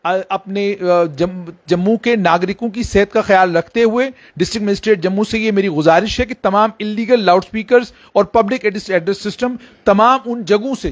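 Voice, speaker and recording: A man explaining news directly to viewers as a reporter, emphatically repeating phrases. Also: high-pitched.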